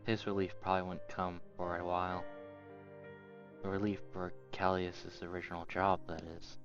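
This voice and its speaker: A man's voice: sits low, completely flat delivery, normal speed. A man reading visual novel narration aloud as a first-person story. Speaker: narrator reading subtitles of a game. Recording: dull lo-fi mic. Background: soft game music.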